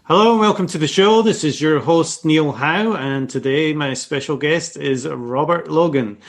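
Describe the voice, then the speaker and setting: A man with a Scottish accent hosts an interview in a relaxed, conversational manner. His voice sits a little higher than most men's, and he drops in the odd "uh" as he goes. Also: normal speed, vocal fry. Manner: easygoing, uses fillers. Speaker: podcast host. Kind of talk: interview host, casual.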